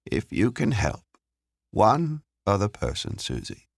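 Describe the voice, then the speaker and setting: A man voicing a kind, gentle woman in an audiobook. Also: on the low side, wildly swooping pitch, slow pace, gravelly, no room sound, studio quality, pitched above the performer's own voice, full character voice. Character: kind, gentle, grateful women in heartfelt talk.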